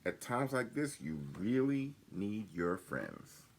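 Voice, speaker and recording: A kindly lady owl teacher from a children's storybook, read by an older man. The voice is gravelly and husky, speaking solemnly and with care to a class. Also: fairly low pitch, very animated, takes it slowly, pitched above the performer's own voice, heavily acted. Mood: solemn, caring. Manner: solemn, caring, addressing a class.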